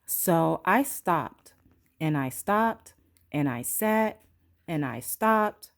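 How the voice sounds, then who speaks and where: A woman reads a picture book to young children in the style of a preschool teacher. Her voice sits a little low and she takes her time, dramatizing the sound words and dialogue. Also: expressive, drawn-out words, gravelly. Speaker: pre-K teacher reading a picture book aloud. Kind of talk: storybook read-aloud for young children.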